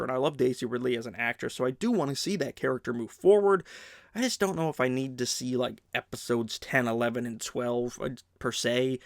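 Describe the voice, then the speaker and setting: A man's voice: medium pitch, a bit bright, no echo, studio quality. A man hosting a casual movie news show, chatty and opinionated, sounding congested from a sinus infection. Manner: chatty, opinionated, many fillers and restarts. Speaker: host.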